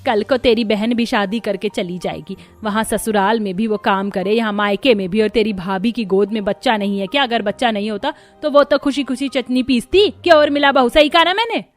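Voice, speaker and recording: A woman voicing a domineering, fault-finding, scornful middle-aged mother-in-law in a Hindi animated family story. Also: a little high, a little fast, hardly any pauses, soft background music, natural voice. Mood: scornful, defiant.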